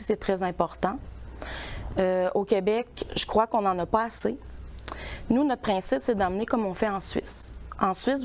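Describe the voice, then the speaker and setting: Female candidate, mid-pitched voice, earnest explanation, faint ambience. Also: a little mellow, a little hiss or hum. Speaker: election candidate. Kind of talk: interview answer.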